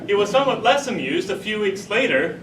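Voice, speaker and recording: High-pitched man telling a tall tale, roomy. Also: big pitch swings, a little fast, a bit bright. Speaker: storyteller at a speech contest. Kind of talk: humorous tall-tale speech to a live audience. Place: room with a live audience, recorded from a distance. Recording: roomy, distant mic, rumbling noise.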